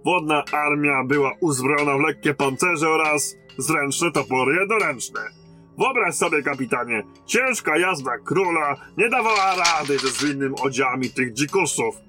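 An actor playing a battle-hardened, blunt and commanding lieutenant in a fantasy audio drama. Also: male voice, fairly high pitch, lively intonation, normal speed, bright, forceful tone, soft background music. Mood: gruffly reminiscing.

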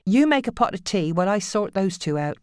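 A woman acting out a middle-aged mother, bossy, exasperated, nagging, raised pitch, dry audiobook recording. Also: very animated, fairly quick, clean tone, no echo, natural voice. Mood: weary, complaining. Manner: nagging, sharp scolding.

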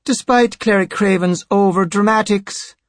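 Audiobook role: haughty, tired high priest, raised pitch, bitter authority played by a male reader. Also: fairly even intonation, moderate pace, dry recording, close to an everyday voice. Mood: stern. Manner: imperious, bitter, sighing. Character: imperious, weary male high priest, tall and narrow. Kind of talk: audiobook character voice.

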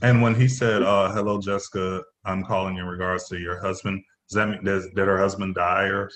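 A man's voice: sits low, little pitch movement, a little fast, fairly bright tone. Man chatting casually in echoey room. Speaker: actor at a play reading. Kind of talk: casual chat. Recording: roomy echo.

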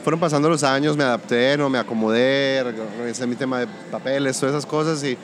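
A man from Colombia with a Colombian-Venezuelan border accent, a music producer talking through his work in a relaxed interview, pausing and restarting his sentences. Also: medium pitch, crowd noise. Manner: thoughtful, restarts often. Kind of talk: casual interview conversation.

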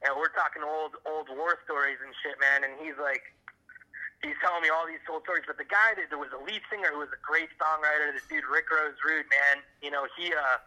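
A man from Miami with an American accent and a fairly high, strikingly bright voice. He talks over a tinny phone line. His delivery is casual and rambling, full of slang and swearing as he tells stories. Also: fairly even intonation, a little fast. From Miami, USA. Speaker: interview guest on a phone call. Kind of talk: casual chat, telling old stories.

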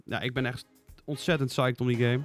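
A man's voice: medium pitch, steady tone, a little fast, faint music behind. A man chatting casually and enthusiastically about games as a podcast reviewer. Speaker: game reviewer on podcast panel.

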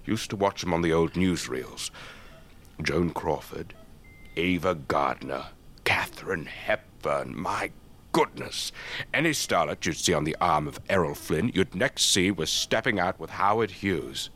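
Deep-voiced old English officer. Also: male voice, animated, unhurried, fairly bright tone, a little hiss or hum, full character voice. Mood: wistful, enthusiastic. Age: older. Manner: old-fashioned clipped officer politeness, rambling anecdotes. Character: elderly English retired air force officer, avuncular, chatty storyteller. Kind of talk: radio drama acting.

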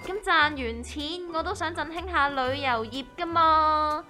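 Radio comedy: cheeky young Hong Kong woman, played by an actress, bright, expressive delivery, music underneath. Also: sits high, a little slow. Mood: playful. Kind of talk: scripted comedic radio drama.

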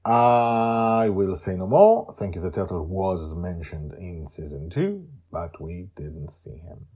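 A man's voice: low voice, lively intonation, takes it slowly, dark tone, breathy edge. A man hosting and telling a story in a theatrical, emphatic way, with asides to his listeners. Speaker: storyteller and host.

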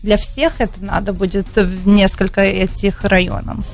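A woman's voice: mid-range voice, flat delivery, normal speed. Woman, American accent, hesitant, searching for words, faint music behind. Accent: American English. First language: English. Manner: hesitant, searching for Russian words, many fillers. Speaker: city council candidate. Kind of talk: radio interview answers. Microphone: studio microphone.

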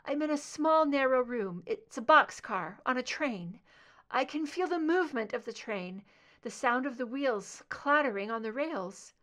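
A woman's voice: animated, a little slow, fairly bright tone. A woman voicing a terrified female agent under hypnosis, crying out in pain in a strained voice. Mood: uneasy. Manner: pained, high, crying out.

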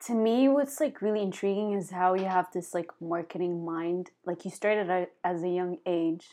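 A woman's voice: lowish voice, steady tone, moderate pace, dark tone. Young woman rambling with filler words. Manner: rambling, heavy "like" fillers, hesitant. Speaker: podcast host. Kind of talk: casual interview chat.

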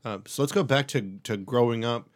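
A man's voice: mid-range voice, brisk. A man hosting a casual interview, easygoing, with frequent "yeah" and "uh-huh" replies. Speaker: podcast interviewer.

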